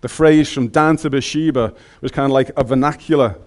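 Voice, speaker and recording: A man's voice: mid-range voice, brisk. A man with a Scouse accent preaching a sermon in a chatty, joking way, speaking into a microphone in a large room. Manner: humorous, conversational, colloquial.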